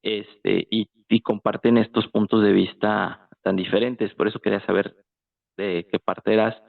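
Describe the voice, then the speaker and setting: A man's voice: lowish voice, flat delivery, unhurried, vocal fry. A man with a Mexican accent chatting casually, circling his points with lots of filler words. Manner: hesitant, circling delivery with frequent filler words. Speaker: participant in a political discussion. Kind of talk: casual chat. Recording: tinny phone-like voice chat.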